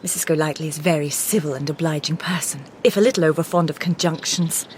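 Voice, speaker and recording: An actress in a Victorian radio drama playing a shrewd, composed lady detective with dry wit, measured and crisp, dropping into low confiding asides. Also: a little mellow, a little hiss or hum, natural voice. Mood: brisk.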